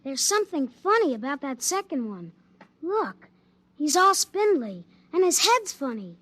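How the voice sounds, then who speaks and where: A very high, bright young boy's voice, eager and a little frightened on a Martian frontier. His voice swoops about lively as he calls to his father in an old radio play. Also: a little slow, heavily acted. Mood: curious. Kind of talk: 1950s radio drama acting.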